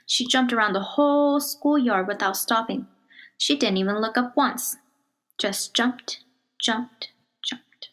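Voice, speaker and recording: A woman reads a children's picture book aloud in a measured, expressive storytelling manner, dropping to a hush on some phrases. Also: fairly high pitch, poor audio. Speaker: storybook reader.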